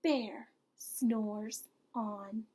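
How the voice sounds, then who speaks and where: A woman reading a picture book aloud as a preschool teacher, expressive and pausing to put questions to young listeners. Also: on the high side. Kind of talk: expressive children's storytime read-aloud.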